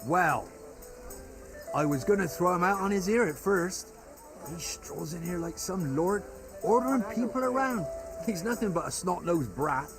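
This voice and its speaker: Gossipy medieval innkeeper, fairly high pitch, animated intonation, music behind. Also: male voice, moderate pace, low-quality recording. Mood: grumbling, gossipy. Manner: folksy, gossipy. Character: grumbling, obliging medieval innkeeper, a man. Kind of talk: naturalistic video game acting.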